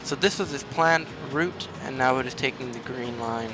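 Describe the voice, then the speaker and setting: A man's voice: medium pitch, normal speed, over music, poor audio. A man hosting a gaming video, explaining a game step by step in tutorial-style commentary.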